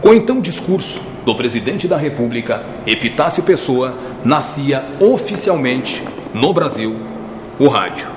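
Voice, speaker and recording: Man narrating with a lively, varied pitch. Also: unhurried, background noise. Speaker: documentary narrator. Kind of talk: scripted narration.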